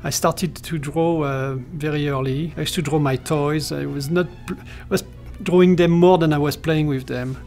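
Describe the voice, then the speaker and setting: A man's voice: fairly high pitch, steady tone, moderate pace, creaky, a little mellow, faint music behind. A middle-aged man from France with a French accent, recalling his career in an interview with many fillers and restarts. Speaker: animation character designer. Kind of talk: talking-head interview, recollections.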